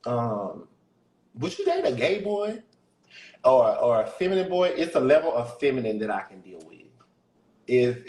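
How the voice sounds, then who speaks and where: A deep-voiced man talks candidly and openly, sitting at a middle pitch. He laughs easily as he chats. The room around him is echoey. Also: a little mellow. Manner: candid, chatty, laughs often. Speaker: guest musician. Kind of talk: casual interview chat.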